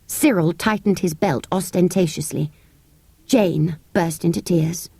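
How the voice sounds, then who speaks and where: A woman with a British accent narrates a children's story in an expressive, measured storytelling manner. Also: lowish voice, creaky, slightly rough, dry recording. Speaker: audiobook narrator. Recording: close, dry cassette tape recording.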